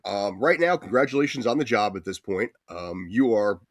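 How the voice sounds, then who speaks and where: A man's voice: on the low side, expressive, normal speed, dry recording, clean studio sound. A man hosting a casual sports podcast interview, talking in an informal, chatty way. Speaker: podcast host.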